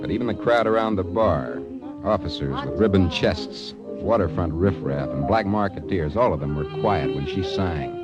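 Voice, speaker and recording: A cool American wartime agent with a low voice and lively, swinging intonation. He speaks in the clipped, official manner of vintage radio drama, probing and businesslike, on an old recording with music behind. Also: moderate pace. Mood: measured, reporting. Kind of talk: 1940s-50s radio drama acting and narration.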